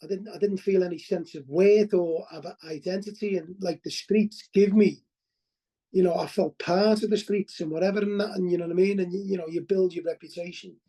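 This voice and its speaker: A man's voice: high voice, fairly even intonation, a little fast, dark tone, poor audio. A middle-aged man with a Liverpool accent giving a candid, rambling testimony at a recovery meeting over a video call, often asking "you know what I mean?" and restarting his words. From Liverpool, England.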